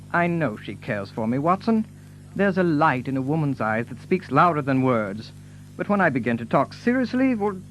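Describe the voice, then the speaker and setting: A hearty, hot-blooded young baronet for an audio drama. His medium-pitched voice swings with feeling, and he speaks bluntly. There is faint music underneath. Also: a bit bright, rough recording.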